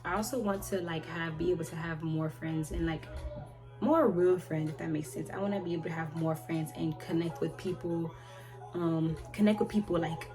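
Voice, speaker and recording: Young woman, twenties, casual vlog chat, rambling and halting, lowish voice, loud music behind. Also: fairly even intonation. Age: 20s. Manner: rambling, halting, self-correcting, trails off often. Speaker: vlogger answering viewer questions.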